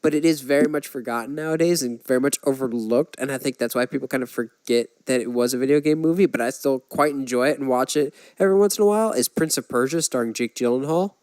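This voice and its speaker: A man's voice: mid-range voice, fast talker, few pauses, darkish tone, clean tone, no echo. A man hosting a podcast, giving his opinions in a casual, conversational way. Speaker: podcast host. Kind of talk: casual chat.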